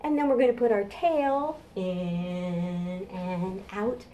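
Middle-aged woman hosting storytime, slow-paced. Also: mid-range voice, dark tone, poor audio. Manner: simple, encouraging talk aimed at small children. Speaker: children's librarian hosting storytime. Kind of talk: preschool storytime presenting, addressing children and parents. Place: home kitchen, indoors. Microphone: camera a short distance away.

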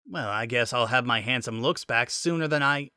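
A man's voice: medium pitch, normal speed, pushed and bright, clean tone, no echo, studio quality, natural voice. Man doing a brash, cocky young robot. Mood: smug. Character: cocky, joking young male robot, easily indignant.

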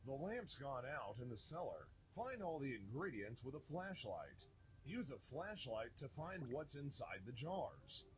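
Expressive, unhurried male children's game narrator. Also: medium pitch. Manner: simple instructional phrasing for young children.

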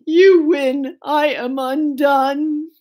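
A woman voicing a grumpy, dramatic male bear from a children's storybook, loud and emphatic. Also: on the high side, a little slow, natural voice. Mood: defeated, tearful.

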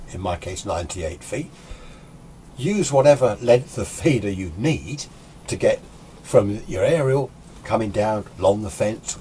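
An older British man in his sixties with a lowish voice talks off the cuff in a chatty, opinionated way. He rambles through an informal hobby tutorial, with clear noise behind him in a small workshop. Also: a little mellow. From United Kingdom. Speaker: amateur radio hobbyist presenting a tutorial. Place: small indoor radio workshop.

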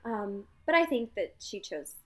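A woman co-hosting a podcast in casual chat, giggling often and trailing off softly at the ends of sentences. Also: medium pitch, big pitch swings, measured pace. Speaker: podcast co-host.

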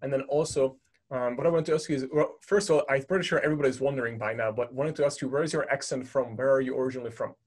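A man from Hungary with a Hungarian accent hosts a podcast in a mid-pitched voice. He speaks casually, thanks his guest again and again, and leans on fillers like "um" and "you know". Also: steady tone, rapid-fire. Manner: friendly, effusive thanks, fillers. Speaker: podcast host.

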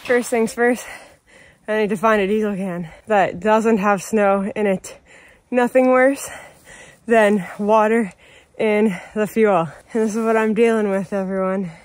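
A woman's voice: medium pitch, fairly even intonation, unhurried, darkish tone. A woman in her thirties with a Canadian accent, chatting casually and playfully as a vlogger. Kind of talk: casual chat to camera and to a tractor. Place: outdoors in snow, around a tractor and yard.

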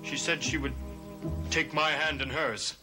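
An anguished young grandson, played by an actor, haunted and fearful in a gothic television soap. His voice is on the high side. Music is clearly heard behind him. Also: fairly even intonation, a little slow, natural voice, poor audio. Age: young adult. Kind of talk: gothic soap opera acting.

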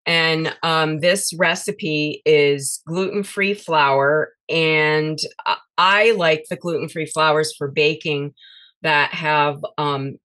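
A woman's voice: low voice, steady tone, takes it slowly, fairly bright tone. A woman guest on a podcast, talking casually about her recipes with many fillers and restarts. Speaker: guest, cookbook author. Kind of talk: casual interview chat.

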